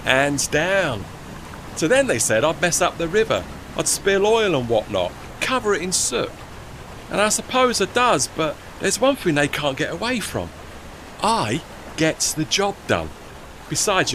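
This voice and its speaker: Man playing a plucky, high-voiced steam tugboat. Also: big pitch swings, a little slow, sharp tone, slight background noise, heavily acted. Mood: cheeky pride. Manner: colloquial, folksy, non-standard grammar. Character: plucky working-class male steam tugboat, cheeky and proud. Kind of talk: audio drama acting.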